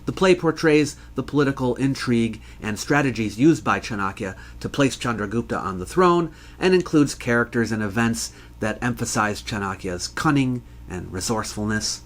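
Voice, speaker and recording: A man giving scripted educational narration as a history host, in a measured, scholarly, explanatory manner. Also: mid-range voice.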